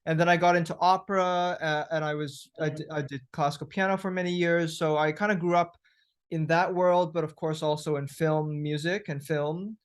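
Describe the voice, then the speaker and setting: A man from Thailand, a musician guest, answering interview questions thoughtfully with frequent pauses and restarts. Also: fairly high pitch, fairly even intonation, clean tone. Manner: thoughtful, hesitant, many restarts.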